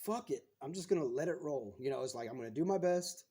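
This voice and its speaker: A man's voice: fairly high pitch, lively intonation, quick pace, warm tone, breathy edge. A man in his thirties, a guest on a podcast, talking frankly in casual chat and swearing freely as he retells things.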